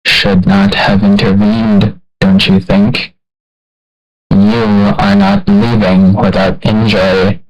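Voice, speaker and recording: A man voicing a cold, all-seeing video game villain who speaks in a measured, formal, condescending and menacing manner. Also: lowish voice, flat delivery, faint music behind, poor audio. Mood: stern threat. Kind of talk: video game character voicelines.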